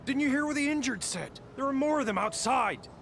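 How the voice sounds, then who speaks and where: A man voice-acting a passionate, principled survivor in a video game, with a gravelly voice over music. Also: very high voice, lively intonation, a little fast, fairly bright tone, exaggerated voice. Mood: impassioned, indignant. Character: passionate, principled male survivor rallying his comrades. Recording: music behind the voice.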